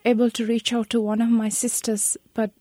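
A Sri woman in her thirties, a guest telling a personal account in an interview, speaking softly and haltingly, with many pauses. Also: on the high side, little pitch movement, no echo. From Sri Lanka. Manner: soft, halting, breathy delivery with many pauses.

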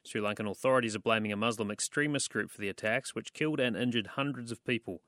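A man hosting a news interview, asking questions in a measured, calm way. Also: fairly low pitch, dry recording, studio quality. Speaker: host.

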